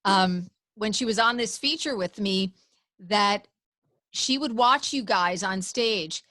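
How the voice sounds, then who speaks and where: A woman's voice: medium pitch, slow, pushed and bright, dry recording. A woman radio host, enthusiastically asking effusive interview questions.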